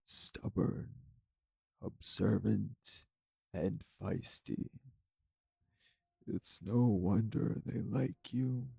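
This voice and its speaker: A man playing a menacing, urbane old vampire kidnapper in an audio drama, with a measured, condescending, formal delivery and a gravelly voice. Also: a little mellow, no echo, full character voice. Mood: condescending, intrigued. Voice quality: gravelly, low. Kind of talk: audio roleplay drama acting.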